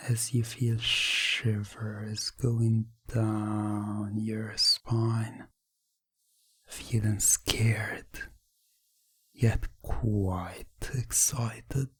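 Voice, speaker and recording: A man narrating a hypnotic ASMR roleplay in a hushed, deliberate manner, often dropping toward a whisper. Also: medium pitch, breathy edge, no echo.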